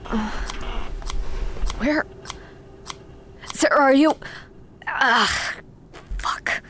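A woman's voice: sits high, very slow, fairly bright tone, slight background noise, exaggerated voice. A woman playing a wounded, fiercely determined and blunt fighter in a fiction podcast. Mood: pained, irritable. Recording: music underneath.

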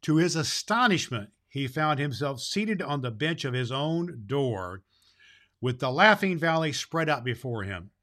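A man's voice: on the high side, big pitch swings, a little slow, bright, forceful tone. A man reading a storybook aloud to children in the role of Santa Claus, with a dramatic, emphatic delivery.

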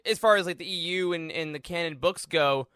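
High, bright-voiced man, close and dry. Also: a little fast, clean tone, no echo. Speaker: podcast co-host. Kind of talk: casual interview chat.

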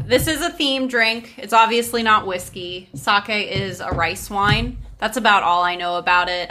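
A woman's voice: mid-range voice, pushed and bright. A woman hosting a casual podcast, chatting breezily with friends and leading into a folk tale. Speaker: podcast host. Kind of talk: casual storytelling chat with friends.

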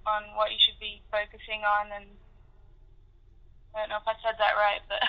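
A woman's voice: mid-range voice, monotone, moderate pace. A woman musician chatting casually in an interview over a dull telephone line. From grew up in Africa and Indonesia. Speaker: band musician being interviewed. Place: phone call.

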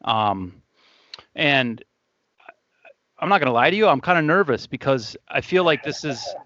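A man's voice: medium pitch, lively intonation, measured pace, sharp tone, dry recording, dull audio. A man hosting a podcast in a casual, earnest, conversational way. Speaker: podcast host.

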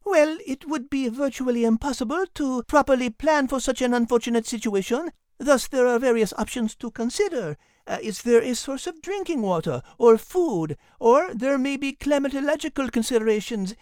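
A woman voicing a rational, fussy female animal in an audiobook story, pedantic, measured and precise. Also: high-pitched, animated, darkish tone, no echo, studio quality. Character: rational, fussy French-speckled female animal, overthinking know-it-all. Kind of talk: animal story character acting.

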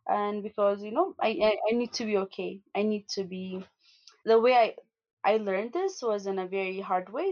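Young woman activist, earnest interview answers full of restarts, mid-pitched, slightly muffled lo-fi audio. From Ethiopia. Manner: earnest, with many restarts and fillers. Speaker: activist guest.

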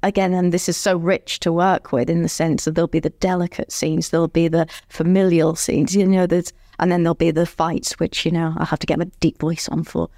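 Woman with a slightly low voice, lively and good-humoured, telling stories, dry close sound. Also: fairly quick, few pauses, no room sound. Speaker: former ballerina and audio describer. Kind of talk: conversational storytelling.

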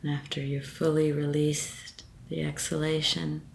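A woman leading a guided meditation with a gentle, soothing manner and measured phrasing. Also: sits low, level tone, gravelly, slight background noise.

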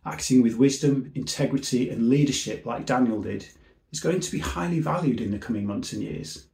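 A man who works as a civil servant, giving an earnest, measured seminar talk that trails off at the end of each phrase. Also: mid-range voice, vocal fry, darkish tone, echoey room.

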